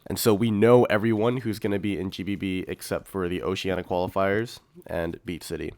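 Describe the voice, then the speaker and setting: A man's voice: deep voice, fairly even intonation, brisk, a little mellow, no echo. A man co-hosting a podcast, explaining things in casual conversation. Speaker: podcast co-host.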